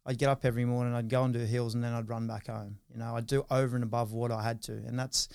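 A man's voice: mid-range voice, flat delivery, fast, creaky, a little mellow, smooth, dry recording, studio quality. A man in his thirties with an Australian accent, telling his life story as an interview guest in a rambling way, with many fillers and restarts. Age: 30s. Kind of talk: casual interview.

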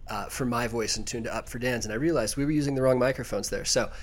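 A young man co-hosting a casual podcast chat, loud and rambling, with quick restarts. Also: medium pitch. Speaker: podcast co-host.

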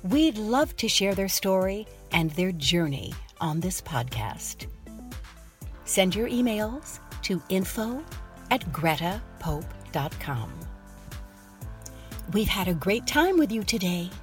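Brief: woman host, lively scripted sign-off, faint music behind. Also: mid-range voice, slow pace. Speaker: podcast host. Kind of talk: scripted podcast outro.

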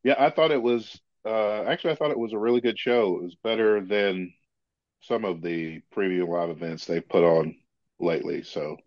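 Congested male co-host with a very low voice, dry close sound, slightly muffled lo-fi mic. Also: moderate pace, no room sound. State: congested with a head cold. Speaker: podcast co-host. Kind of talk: casual chat.

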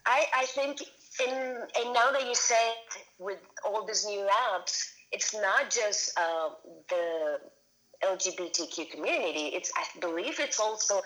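A woman's voice: mid-range voice, measured pace, a bit bright, poor audio. A woman in her thirties from Venezuela, a filmmaker being interviewed, talking casually with many restarts and fillers. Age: 30s. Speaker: guest filmmaker.

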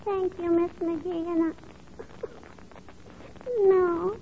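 A woman's voice: very high-pitched, flat delivery, very slow, full character voice. A woman playing a cute, pouty, whiny little girl who repeats herself, in 1940s radio comedy style. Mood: tearful, whiny. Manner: childish, repeats herself, "I bet you" tags. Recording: tinny, noisy 1940s radio recording.

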